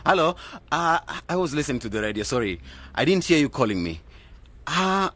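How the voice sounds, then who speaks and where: A man's voice: a little high, sing-song, moderate pace, fairly bright tone. An actor playing an earnest, hesitant young man in his twenties, deferential and flustered, in a radio drama. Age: 20s. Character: earnest, flustered young graduate nephew defending his choices.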